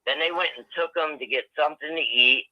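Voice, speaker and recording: Swearing caller on a muffled phone line. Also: male voice, fairly high pitch, fast talker, no room sound. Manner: profane, argumentative, interrupts. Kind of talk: heated phone-in argument.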